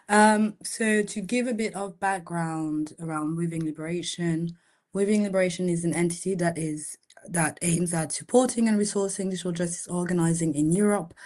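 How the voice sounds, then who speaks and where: A woman's voice: fairly low pitch, a little mellow. A woman from Senegal, co-director of a fund, giving a hesitant presentation on a video call, with many fillers and restarts. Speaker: co-director of a funding organization. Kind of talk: online info session presentation.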